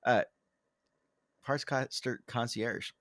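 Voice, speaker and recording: A man's voice: mid-range voice, fairly even intonation, takes it slowly, a bit bright, no echo, clean studio sound. A man co-hosting a podcast in casual chat, rambling and self-deprecating, with many ums and restarts. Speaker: podcast co-host.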